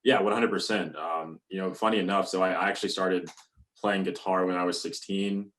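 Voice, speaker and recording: A young man from North Alabama with a deep, bassy voice, chatting casually as a musician guest with plenty of "you know" and "um". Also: fairly quick. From North Alabama, USA. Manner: relaxed, many fillers like "you know" and "um". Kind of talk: casual interview talk.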